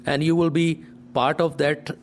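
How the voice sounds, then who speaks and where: A man's voice: fairly high pitch, level tone, a little slow, noisy background. A man, a tour director explaining the day's plans on location. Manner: explanatory, slightly nonstandard grammar. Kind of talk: on-location conversation.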